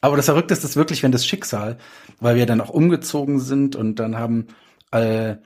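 A man's voice: medium pitch, fairly quick, a little mellow. A man casually telling personal stories as a guest author, correcting himself as he goes. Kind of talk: casual anecdotal storytelling.

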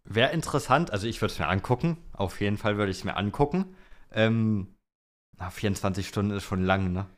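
Low-voiced casual man. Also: expressive, brisk. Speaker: podcast co-host. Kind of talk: casual chat about motorsport.

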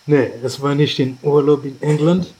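A man's voice: on the high side, steady tone, normal speed, dark tone, rough recording. A man from Kenya, a rugby player, explaining in simple phrasing with frequent tag questions. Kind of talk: casual interview answers.

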